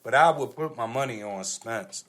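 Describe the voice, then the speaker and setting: A man's voice: mid-range voice, normal speed, a bit bright. An American man hosting a podcast, talking casually about sports. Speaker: podcast host.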